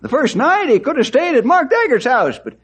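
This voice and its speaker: An actor playing an excitable, folksy old sidekick with a rustic drawl in a radio western. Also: male voice, very high-pitched, fairly quick, heavily acted. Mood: worried. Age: older. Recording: old radio drama recording.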